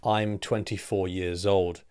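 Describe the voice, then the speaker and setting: Man, slightly low voice, English teacher, measured explanatory read, phrases fade softer at the end. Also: little pitch movement. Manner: clear, deliberate teaching delivery with rhetorical questions. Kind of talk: scripted explanatory lesson.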